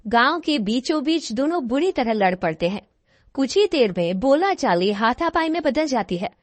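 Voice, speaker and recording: A woman's voice: high voice, very animated, normal speed, no echo. A woman reading scripted story narration as the narrator of a tale.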